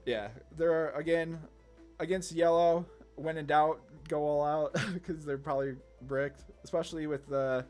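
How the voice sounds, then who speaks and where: A man's voice: fairly high pitch, fairly even intonation, a little slow, darkish tone, faint music behind. A man giving casual, slangy card game commentary, thinking aloud hesitantly as he plays. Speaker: gaming channel host.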